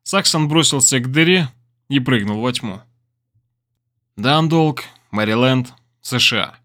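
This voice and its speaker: A man reads dramatic fiction as an audiobook narrator. His mid-range voice is deliberate and expressive, telling the story from a script. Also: medium pitch.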